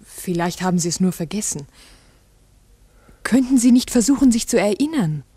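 An actress playing an earnest village schoolteacher in a 1960s radio drama, asking careful, polite, probing questions. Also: female voice, mid-range voice, lively intonation, normal speed, mellow, slightly rough, no echo.

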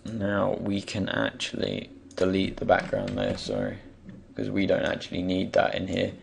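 A young man in his tutorial voice, croaky and rough because he has just got up. He sits very low and rasps, pausing often to think aloud. Mouse clicks and faint hiss sit under his voice. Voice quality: croaky, gravelly. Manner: relaxed, thinking aloud with many pauses. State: just woken up. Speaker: tutorial creator. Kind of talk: screen-recorded software tutorial.

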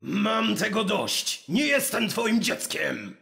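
A woman's voice: mid-range voice, sing-song, slow pace, gravelly, heavily acted. A woman voicing a cold, aloof male psychic creature in a fan comic dub, speaking in curt, stern words. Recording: music underneath.

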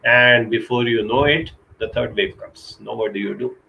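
A middle-aged man from India giving an earnest motivational talk over a webcam from a small curtained room, slowing down to stress key points. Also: mid-range voice, fairly even intonation, creaky, bright, forceful tone, lo-fi sound, a little hiss or hum. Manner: earnest, urging, slows down for emphasis. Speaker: counselor giving a live talk. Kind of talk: motivational live-stream talk. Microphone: webcam video call.

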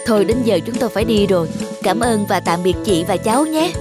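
A woman voicing a polite, kindly mother in an animated moral tale. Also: medium pitch, fast, natural voice. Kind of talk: animated cartoon dub. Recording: loud music under the voice.

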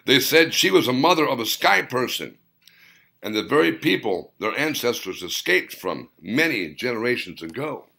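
A man reading his own novel as a dramatic audiobook, in deliberate, theatrical short phrases. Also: mid-range voice, sing-song, vocal fry, pushed and bright.

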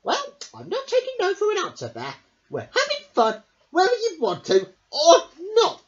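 A storybook reader voicing an eager, chatty, needy duck with an excitable, pleading manner. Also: very high voice, normal speed, pitched above the performer's own voice, heavily acted. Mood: insistent. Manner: excitable, pleading, swooping exclamations. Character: eager, chatty, needy cartoon duck, pestering best friend. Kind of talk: picture book read-aloud character voice. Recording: dull lo-fi home recording.

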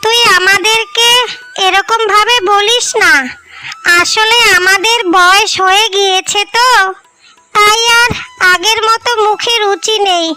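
A woman's voice: extremely high pitch, steady tone, a little slow, pushed and bright, faint music behind, heavily acted. A woman voicing an anxious, plaintive ageing village mother in a cartoon. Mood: apologetic. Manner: plaintive, worrying. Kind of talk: cartoon dialogue.

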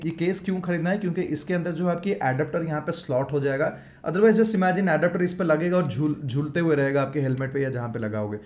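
A man's voice: fairly high pitch, a little mellow. A man in his thirties with an Indian accent, chatting casually in a home room as a moto vlogger reviewing action cameras, switching between Hindi and English. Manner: chatty, mixes Hindi and English, shows gear while talking. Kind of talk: casual gear review vlog. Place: home gaming room, indoors. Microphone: large foam mic on desk in front.

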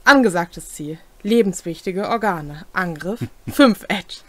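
A young adult woman, co-hosting and reading game rules aloud in a deliberate way with brief comments. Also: on the low side, lively intonation, no echo. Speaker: co-host explaining tabletop game rules. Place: small home room, indoors.

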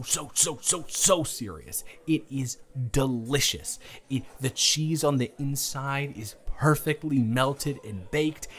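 Young adult male, playful and chatty, stumbles over words, intimate close-mic roleplay delivery. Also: mid-range voice. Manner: playful, teasing, rambling with restarts. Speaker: audio roleplay performer. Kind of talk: improvised first-person romance roleplay.

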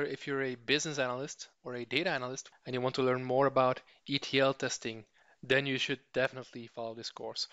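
Even-toned male course instructor, dry lo-fi. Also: mid-range voice, clear, resonant tone, no room sound. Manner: steady explanatory delivery with occasional fillers. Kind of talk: slide-based course introduction. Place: voice-over for slides.

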